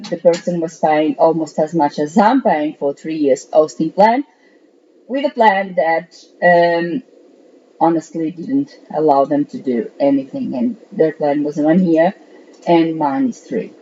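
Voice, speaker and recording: Woman host, unhurried and halting, slightly hoarse, lower-pitched voice, dull lo-fi recording. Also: darkish tone. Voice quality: clears throat now and then. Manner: halting, many ums and uhs. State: slightly hoarse from allergy, coughing. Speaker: host of a weekly online meeting for translators. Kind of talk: casual solo talk to camera. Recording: dull lo-fi sound.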